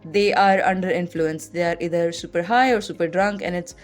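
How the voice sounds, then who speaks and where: A woman's voice: fairly low pitch, fairly even intonation, a little fast, soft background music. Chatty young Indian woman telling stories. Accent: Indian English. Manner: chatty, heavy use of "like" fillers. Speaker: podcast host. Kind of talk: casual personal storytelling.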